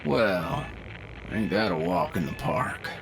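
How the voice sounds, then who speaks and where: A man's voice: on the low side, very animated, slow pace, creaky, background noise, full character voice. A man playing a laconic, dry-witted fighter in a fantasy audio drama. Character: laid-back, dry-witted male fighter of a fantasy crew. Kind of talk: fantasy audio roleplay acting. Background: music.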